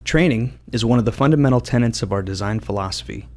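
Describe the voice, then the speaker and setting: A man's voice: mid-range voice, quick pace, darkish tone. A man who develops games, giving scripted commentary on design. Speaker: game developer.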